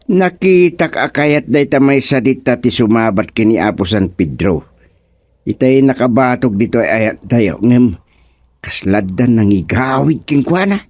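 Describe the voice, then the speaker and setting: An actor playing a stubborn, giggly old father in a comedic radio drama, exclamatory and repetitive with drawn-out words. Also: medium pitch, lively intonation, darkish tone, no echo. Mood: amazed.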